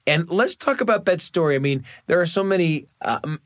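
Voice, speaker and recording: Male story-show host, highly expressive intonation, dry studio recording. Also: a little fast, no echo. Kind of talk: hosted radio narration and chat.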